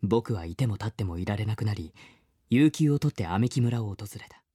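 An actor playing an earnest, wistful man who narrates his boyhood memories in a measured, reflective way, as in a radio drama. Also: lowish voice, expressive, mellow, husky, no room sound, studio quality. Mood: wistful, subdued. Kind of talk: scripted first-person radio drama narration.

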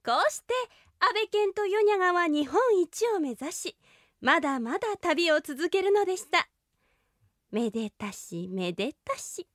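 A woman playing a gentle storybook narrator in a scripted folk-tale style. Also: very high voice, very animated, unhurried, no room sound, studio quality, pitched above the performer's own voice, heavily acted. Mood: cheerful, concluding.

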